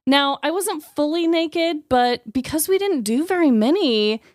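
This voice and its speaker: A woman with a high, animated voice co-hosts a podcast. She talks casually and expressively, with irreverent comic banter. The sound is close and dry. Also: a little slow, dry recording. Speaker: podcast host. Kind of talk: casual comedic banter, presenting joke awards.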